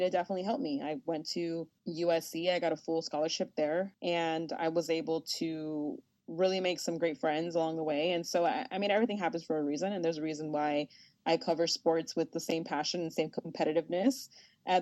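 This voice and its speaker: Young woman, Spanish first language, casual interview guest, animated, laughs often, lowish voice, dry close recording. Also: fairly quick, no echo. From United States. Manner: animated, laughs often, many fillers like "you know". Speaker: sports journalist guest.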